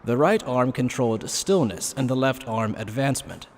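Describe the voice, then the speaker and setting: A man's voice: medium pitch, animated, moderate pace. A man reading dramatic scripted story narration.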